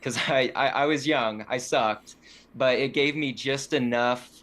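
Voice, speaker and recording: A man's voice: medium pitch, a little slow, fairly bright tone. A young man, a musician guest on a podcast, telling stories in an easygoing, self-deprecating way. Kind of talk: casual interview chat.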